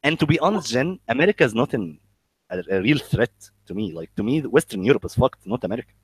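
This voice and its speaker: A man's voice: medium pitch, expressive, slight background noise, poor audio. A man with an Egyptian accent chatting casually and bluntly, swearing and joking, often stammering over the starts of his words. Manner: halting, stammering with repeated word starts. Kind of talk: casual conversation.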